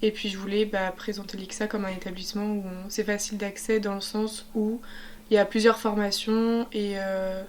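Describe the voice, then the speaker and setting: Flat-toned twenty-year-old woman. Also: medium pitch, moderate pace, slight background noise. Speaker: student ambassador. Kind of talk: casual testimonial.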